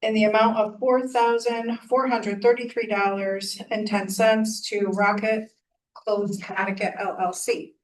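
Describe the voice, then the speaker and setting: A woman's voice: medium pitch, a little slow. A woman on a town board reading out motions and dollar amounts in a businesslike way. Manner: flat, businesslike reading of figures. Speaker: town selectwoman. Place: meeting room. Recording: voice from far off the mic.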